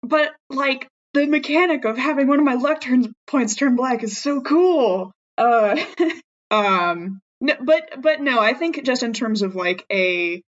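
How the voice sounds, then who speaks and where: Muffled-ish mic, lady player in a tabletop game, chatty, animated, thinking out loud. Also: sits high, measured pace. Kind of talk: casual improvised roleplay chat.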